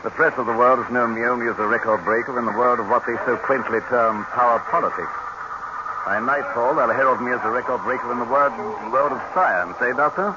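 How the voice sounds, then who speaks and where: An actor playing an imperious dictator in 1930s radio drama, pompous and condescending, savoring every phrase, on a muffled, noisy old recording. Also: male voice, medium pitch, a little fast, lightly acted. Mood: grandly self-assured.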